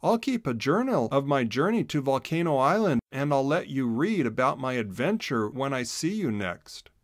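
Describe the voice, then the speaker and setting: A man's voice: mid-range voice, very animated, moderate pace, no room sound, clean studio sound, pitched above the performer's own voice, lightly acted. A man voicing a curious young hedgehog boy in a children's storybook, speaking in an earnest, emphatic way. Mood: eager. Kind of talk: children's storybook read-aloud.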